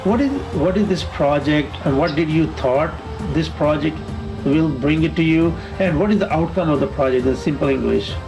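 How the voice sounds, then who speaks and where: A man interviewing a guest for television, asking long questions haltingly with many fillers. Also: a little high, over music, low-quality recording.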